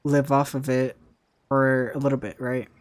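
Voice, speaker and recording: A man's voice: medium pitch, flat delivery, moderate pace. A young man, a content creator, chatting casually about his future, rambling and often repeating himself with "right?". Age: young adult. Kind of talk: casual chat.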